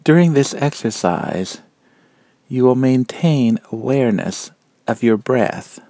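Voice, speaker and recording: A man guiding a meditation in a calm, measured and gentle manner, leaving long pauses between phrases. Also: medium pitch, no room sound. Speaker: meditation guide. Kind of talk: guided meditation narration.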